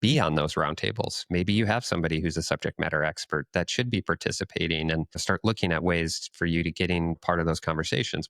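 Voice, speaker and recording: A man with a deep voice speaks as a podcast co-host. He explains things in a measured, conversational manner, recorded very dry without room sound. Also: fairly bright tone, dry recording. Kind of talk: conversational business discussion.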